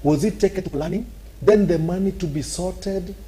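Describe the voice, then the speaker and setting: A man's voice: high-pitched, normal speed, warm tone. A man with a Kenyan accent, arguing animatedly on a talk show and acting out scenes with sound effects. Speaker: political commentator.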